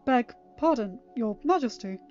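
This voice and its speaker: A woman voicing a deferential gentleman in a story, formal and hesitant, hiding his hurt. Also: a little high, expressive, unhurried. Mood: formal, restrained. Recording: muffled lo-fi audio with music.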